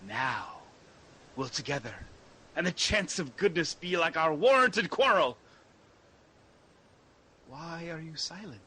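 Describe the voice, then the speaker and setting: A man playing an earnest exiled prince in a Shakespearean radio drama, declaiming verse with a husky voice. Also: a little high, expressive, sharp tone, heavily acted. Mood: earnest, resolute. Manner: deliberate, declamatory verse delivery. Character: Malcolm, earnest exiled Scottish prince rallying for war.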